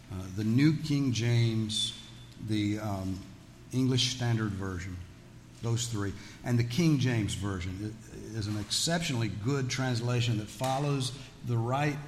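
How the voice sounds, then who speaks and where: A man teaching scripture to an audience in a folksy, conversational way, with joking asides. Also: medium pitch. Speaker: Bible teacher. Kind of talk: religious teaching with audience questions. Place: large echoey room with an audience. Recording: echoey hall with background noise.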